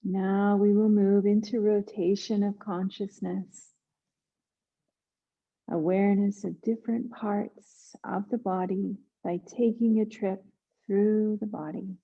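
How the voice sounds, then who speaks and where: Woman, meditation guide, creaky voice, flat even pitch, soothing, unhurried instructions, narrow muffled audio. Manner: hushed, soothing instruction with long pauses. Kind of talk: guided yoga nidra meditation.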